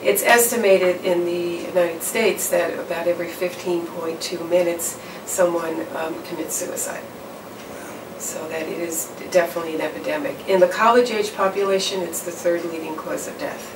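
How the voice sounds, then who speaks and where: A middle-aged woman answering interview questions as a campus counselor, measured and explanatory, with frequent fillers and restarts. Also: fairly low pitch, fairly even intonation. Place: office or studio in front of a backdrop. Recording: roomy, distant mic with background noise.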